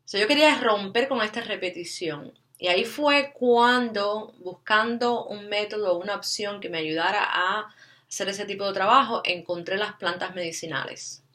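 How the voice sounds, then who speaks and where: Cuban woman vlogger, bright, forward tone, tags questions onto her lines, slips in English fillers. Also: medium pitch, moderate pace. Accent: Cuban Spanish. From Cuba. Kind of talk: personal storytelling to camera.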